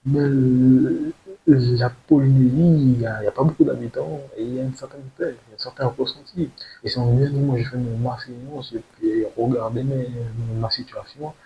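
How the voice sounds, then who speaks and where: A man's voice: medium pitch, normal speed, highs cut off. A young man speaks off the cuff in an earnest personal monologue, hesitating and often repeating words as he goes. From French Guiana. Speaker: video creator telling his own story. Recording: steady hiss, noisy amateur recording.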